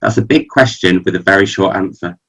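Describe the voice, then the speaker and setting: A man with a lowish voice moderates a panel over a video call. He is polite and brisk, keeping an eye on time. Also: fairly bright tone. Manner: polite, brisk, with filler ums. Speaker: webinar moderator.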